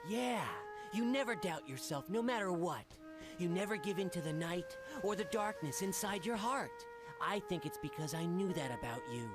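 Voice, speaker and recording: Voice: medium pitch, animated, normal speed, natural voice. An actor voicing a small, childlike guardian spirit from a video game, earnest and hesitant in delivery. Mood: earnest, admiring. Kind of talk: animated video game cutscene acting. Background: game music under the voice.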